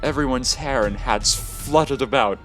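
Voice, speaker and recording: A man reading a visual novel game aloud in expressive story narration. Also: mid-range voice, normal speed. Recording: music behind the voice. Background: game music.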